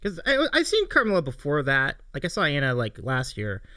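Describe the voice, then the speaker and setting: A man's voice: a little high, huge pitch swings, fairly quick, bright, forceful tone, no room sound. A man hosting a livestream interview show, chatting enthusiastically and effusively, often repeating his phrases. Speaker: live stream show host.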